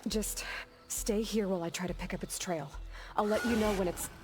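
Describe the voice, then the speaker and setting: An actress playing a young female hunter, a capable outsider who speaks calmly and directly. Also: mid-range voice, normal speed, music in the background, lightly acted. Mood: determined. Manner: calm, direct, matter-of-fact. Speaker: game heroine. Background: game score.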